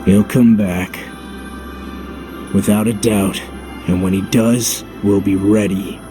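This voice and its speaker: A stoic young video game hero with a low voice. He is blunt and terse, taking his time over understated lines, with music clearly behind him. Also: fairly even intonation, slow pace. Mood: calm, assured. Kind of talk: dramatic video game voice acting.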